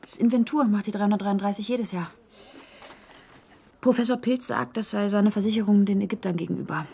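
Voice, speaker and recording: A woman's voice: mid-range voice, fairly quick, creaky, mellow, breathy edge. An actress playing a young woman who secretly passes on documents, in a radio drama. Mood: confiding.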